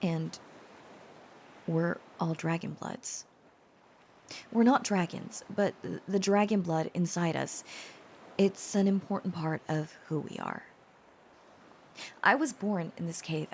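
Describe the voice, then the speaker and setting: Slow, hesitant, tender young woman, acted. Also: lowish voice, gravelly, slight background noise. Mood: earnest, confiding. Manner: hesitant, stammering, with soft pauses. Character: shy, tender young dragon-blooded woman confessing to her boyfriend. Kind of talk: intimate audio roleplay, acted to the listener.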